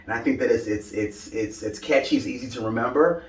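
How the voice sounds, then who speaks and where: A man's voice: mid-range voice, brisk. A young man from Brooklyn, answering interview questions about his style brand in an upbeat, rambling way with lots of "you know.". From Brooklyn, New York. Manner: upbeat, talks in loose run-ons with many "you know" fillers. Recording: echoey room, mic at a distance.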